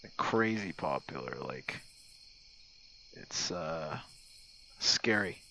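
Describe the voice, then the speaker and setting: A man's voice: rumbling low, expressive, takes it slowly, pushed and bright, slight background noise. A young man leaving a casual, laid-back audio message for a gamer friend, rambling and self-deprecating with plenty of swearing. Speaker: online audio roleplay creator and streamer. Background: crickets and night sounds.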